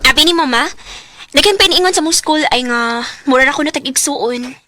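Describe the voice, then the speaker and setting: An actress playing an earnest young student daughter in an emotive Cebuano radio drama. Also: female voice, high voice, a little fast, bright, forceful tone. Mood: curious, respectful.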